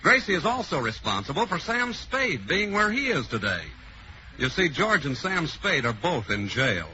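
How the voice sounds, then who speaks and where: A man announcing a 1940s radio comedy show in a smooth showman manner. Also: on the high side, very animated, normal speed. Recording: dull old radio broadcast with hiss.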